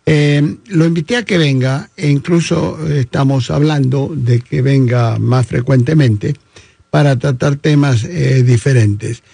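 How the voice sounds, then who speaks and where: Host guy, gravelly and kinda dark voice, lots of ehs, dry close mic. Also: mid-range voice, measured pace, dry recording. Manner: hesitant, many fillers. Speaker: podcast host. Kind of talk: casual interview chat. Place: studio with phone on table.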